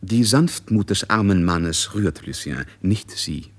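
A man narrating a literary radio play in a measured, detached manner. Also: low voice, expressive, full character voice.

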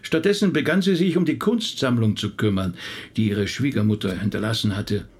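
A man's voice: fairly low pitch, a little fast, husky. A male actor playing a middle-aged rabbi in a naturalistic radio drama, thoughtful, talkative and a little hesitant as he recounts family history. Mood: reflective, reminiscing. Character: thoughtful male rabbi recounting his late relative's life.

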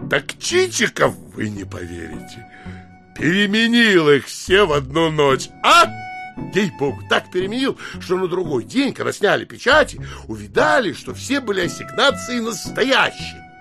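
A man playing a brazen, boastful landowner and compulsive liar in an audio drama, excitable and emphatic. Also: sits high, moderate pace, vocal fry, soft background music, heavily acted. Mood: gleeful boasting. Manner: excitable, swaggering, emphatic, swears to every claim. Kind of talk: theatrical audiobook drama acting.

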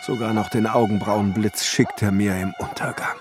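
An actor playing a bitter, brooding ruined court glassmaker in a German radio play, declaiming theatrically in poetic monologue. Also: male voice, lowish voice, fairly even intonation, moderate pace, close to an everyday voice. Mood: distraught. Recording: studio radio play with music underneath.

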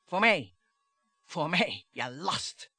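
An actress playing an embittered, taunting wife in a radio play, with a theatrical, mocking and volatile delivery. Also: female voice, sits low, big pitch swings, very slow, pushed and bright, breathy edge, no room sound, heavily acted. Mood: brittle, intense. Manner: dramatic, mocking, volatile monologues.

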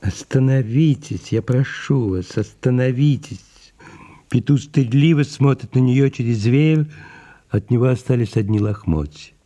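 An actor playing a fussy, reluctant, exasperated secretary in an audio drama, hesitant, protesting and deferential. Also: male voice, medium pitch, unhurried, dark tone, lightly acted. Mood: pleading.

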